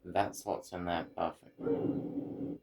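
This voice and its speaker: Deep gravelly man thinking aloud over game music. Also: steady tone, slow pace. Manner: muttering to himself, thinking aloud. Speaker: gaming video host. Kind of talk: casual gameplay commentary. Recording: loud game music behind voice. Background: video game music.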